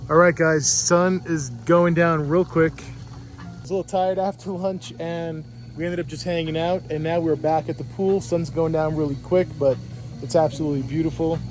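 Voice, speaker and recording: A man's voice: a little high, steady tone, moderate pace, faint music behind. A man with a Puerto Rican accent, vlogging casually by a pool and talking into a handheld camera, rambling with restarts and fillers. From Puerto Rico. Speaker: travel vlogger. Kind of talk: casual travel vlog. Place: outdoors at a resort pool.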